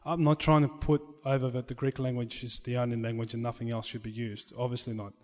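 Earnest halting man in a reverberant hall. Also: mid-range voice, level tone, a little mellow. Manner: halting, many restarts, earnest and conciliatory. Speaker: church chanter. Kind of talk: Q&A discussion.

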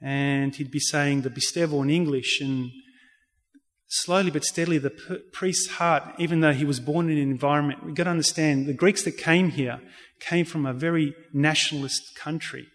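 A man with an Australian accent giving a public talk and answering questions in a halting, earnest, explanatory way. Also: fairly high pitch, fairly even intonation, fairly bright tone. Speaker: religious speaker giving a community talk. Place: reverberant indoor hall. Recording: echoey hall with long reverb.

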